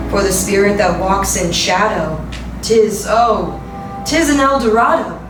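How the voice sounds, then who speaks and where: A woman giving a solemn, deliberate and theatrical dramatic reading of poetry. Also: mid-range voice. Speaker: poetry reader. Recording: roomy, distant voice over music. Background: music.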